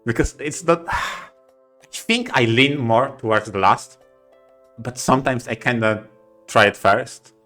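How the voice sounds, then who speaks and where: A man co-hosting a podcast in casual chat, with a halting, hesitant way of talking. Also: medium pitch, animated, fairly bright tone, faint music behind. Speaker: podcast co-host.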